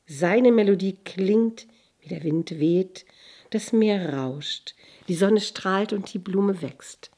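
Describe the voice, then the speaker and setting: A woman voicing a playful male court jester who talks in an excited, sing-song way in a spoken fairy tale. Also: lowish voice, takes it slowly, full character voice. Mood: exuberant.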